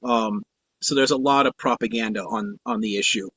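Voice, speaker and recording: Halting man on a muffled phone stream. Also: medium pitch, a bit bright, slightly rough, no room sound. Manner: halting, many restarts, plain-spoken. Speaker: host. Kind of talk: casual live-stream chat. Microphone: spare phone.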